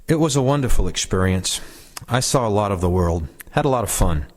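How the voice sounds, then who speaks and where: A man's voice: lowish voice, animated, a little fast. A man reading first-person war memoirs aloud in a plain, conversational way. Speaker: reader of veterans' oral histories.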